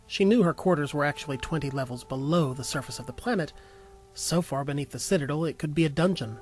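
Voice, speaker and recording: A man reading scripted narration for an audio drama in a storytelling style. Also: medium pitch. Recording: music under the voice. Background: music.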